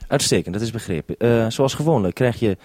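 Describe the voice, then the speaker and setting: Mellow-voiced man on radio link. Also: medium pitch, lively intonation, fairly quick, a little hiss or hum. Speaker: radio programme maker. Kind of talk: two-way radio conversation with handovers.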